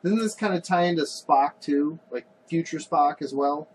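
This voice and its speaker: A man co-hosting a casual podcast about comics, talking in an animated way and often restarting his sentences. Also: a little high, moderate pace, husky. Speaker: podcast co-host.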